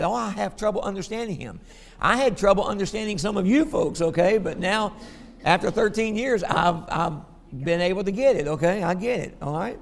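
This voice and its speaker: A man's voice: high voice, gravelly, long reverb tail. A man with a Southern American accent preaching a sermon, folksy, checking in with the congregation with "okay?".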